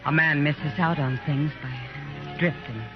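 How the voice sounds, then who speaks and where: An actress playing a knowing, gently probing frontier woman in a 1950s radio western, speaking in a measured, intimate way. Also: low voice, steady tone. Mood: tender, probing. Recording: muffled noisy vintage radio recording, music behind.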